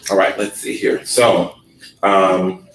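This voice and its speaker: Deep, sleepy-voiced man presenting in an echoey room. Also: fairly even intonation. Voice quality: sleepy, laid-back delivery. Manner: unhurried with frequent ums. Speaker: workshop presenter. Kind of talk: relaxed workshop presentation. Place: conference room. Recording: echoey room from far off with noise.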